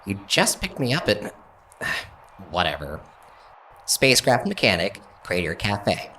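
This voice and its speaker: A man's voice: fairly low pitch, huge pitch swings, slow pace, bright, forceful tone, slight background noise, full character voice. A man playing a grudging, sarcastic spacecraft mechanic in an audio drama, giving curt, reluctant answers. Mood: sullen, sarcastic.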